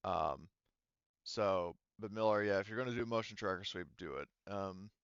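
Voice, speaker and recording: A man acting as game master in a tabletop roleplaying game, explaining casually and haltingly as he thinks aloud. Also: low-pitched, creaky, clear, resonant tone, no echo, dull audio.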